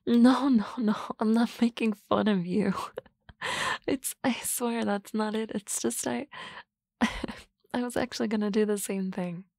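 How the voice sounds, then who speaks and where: A woman voicing a sardonic, teasing office-lady boss in an ASMR roleplay, with dry banter and soft whispery asides on a close mic. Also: medium pitch, fairly even intonation, unhurried, gravelly, pauses often, no echo.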